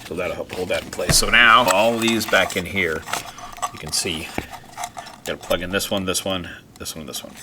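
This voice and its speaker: A man's voice: low-pitched, fairly even intonation, normal speed, heavy background noise. A man hosting a DIY video, narrating hands-on work casually and informally, sometimes muttering to himself as he goes.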